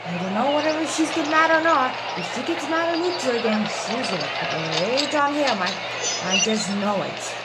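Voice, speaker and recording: A woman's voice: lively intonation, moderate pace. A woman giving casual commentary as she streams a game playthrough. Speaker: gameplay streamer. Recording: very noisy, rumbling home recording.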